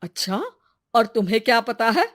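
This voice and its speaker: Woman playing a curious, lively village woman. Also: on the high side, normal speed, natural voice. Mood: probing. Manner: inquisitive, colloquial. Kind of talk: cartoon moral-story acting.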